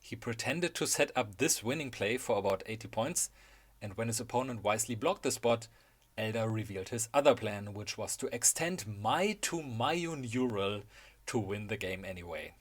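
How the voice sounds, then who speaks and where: A man's voice: fairly low pitch, animated, normal speed. A man with a German accent narrating a scripted video essay in a wry, enthusiastic way.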